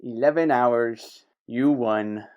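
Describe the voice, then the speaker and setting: A man's voice: mid-range voice, slow, a little mellow, clean tone, natural voice. A man playing a sarcastic, competitive detective's partner in an audio drama, with a teasing manner. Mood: sulky. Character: snarky, competitive male detective sidekick.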